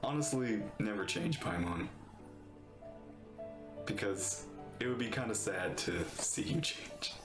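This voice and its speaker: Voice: low voice, slow pace, pauses often, echoey room, poor audio. A streamer giving casual, gushing live reactions to a game, rambling with lots of "like". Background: video game music.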